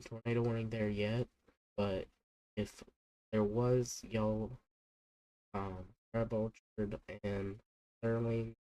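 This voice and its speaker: A man talks his way through live storm coverage, stopping and restarting often, his nose stuffed up from a cold. His tone is mellow and dark, held in a narrow, flat pitch. The sound is dry and close, with no room around it. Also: no echo. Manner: halting, many fillers and restarts. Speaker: livestream weather host. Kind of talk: unscripted live weather commentary.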